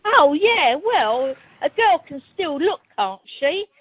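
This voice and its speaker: Brash, cheeky wife acted by a woman, high and lively, flirty, amateur radio comedy. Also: takes it slowly, no room sound, full character voice. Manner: cheeky, colloquial. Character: brash, flirty married woman with expensive tastes. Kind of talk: amateur radio play acting. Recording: dull telephone conference line.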